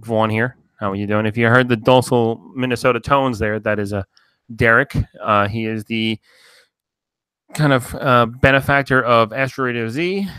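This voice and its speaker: A man's voice: medium pitch, sharp tone, smooth, dry recording. A man from New Jersey hosting a podcast, rambling in loose casual chat with lots of fillers and swearing. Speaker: podcast host.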